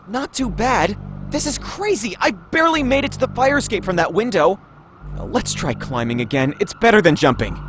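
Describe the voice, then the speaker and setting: Man playing very high-pitched, anxious young man. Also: sing-song, moderate pace. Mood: alarmed, protesting. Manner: nervous, protesting, hesitant. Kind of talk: dramatic visual novel character reading. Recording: sirens and street noise behind voice. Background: sirens, cars.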